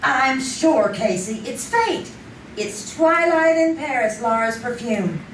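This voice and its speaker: An actress playing an intuitive, insistent sleuth's sidekick in an old-time radio style mystery. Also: female voice, sits high, very animated, takes it slowly, full character voice. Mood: earnest, sure. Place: live theater stage. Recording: distant echoey stage recording, faint noise.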